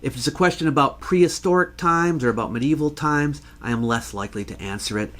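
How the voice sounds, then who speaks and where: A man hosting an educational talk, answering a question in a measured, conversational manner with rhetorical questions. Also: on the high side, a bit bright. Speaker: host, history educator.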